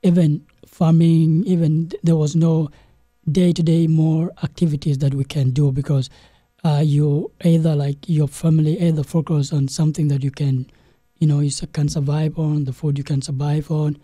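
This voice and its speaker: Brief: young South Sudanese-born man, dark husky voice, halting, flat delivery, dry close mic. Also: on the high side, dry recording. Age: young adult. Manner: halting, many restarts and "you know". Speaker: guest, game developer. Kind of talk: interview storytelling.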